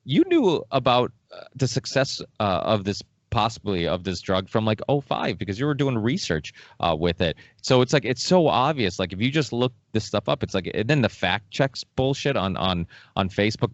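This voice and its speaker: A man's voice: on the low side, no room sound. A man from Massachusetts hosting a casual podcast, talking in a rambling, emphatic way and repeating himself as he goes. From Massachusetts, born in Portugal. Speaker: podcast host. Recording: dull lo-fi audio.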